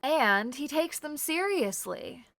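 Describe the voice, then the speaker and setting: A woman's voice: high voice, animated, slow pace, fairly bright tone, no echo, exaggerated voice. A woman playing a haughty, indignant stage actress with a disdainful, theatrical manner in an audio drama.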